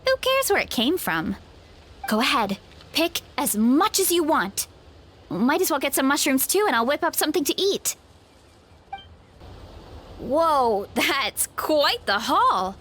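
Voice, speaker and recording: An actress voicing a cheerful, hearty young tribeswoman in a video game, chatting in a breezy, animated way. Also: high-pitched, measured pace, long pauses, full character voice. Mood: upbeat, casual.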